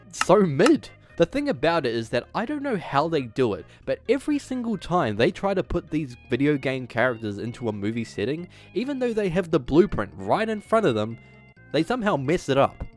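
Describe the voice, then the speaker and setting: A man's voice: a little high, darkish tone. A man hosting a video essay, reading a script with emphatic delivery and dropping in casual asides.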